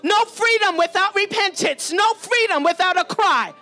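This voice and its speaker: A woman's voice: very high-pitched, animated, normal speed, bright, forceful tone. A woman preacher leading fervent charismatic prayer, urgent and pleading, exhorting with repeated phrases.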